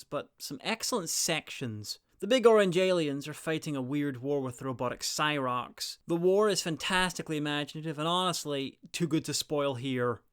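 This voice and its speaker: A man's voice: fairly high pitch, clear, resonant tone. A man narrating a scripted review in an opinionated, wry manner. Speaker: reviewer presenting an audio drama guide.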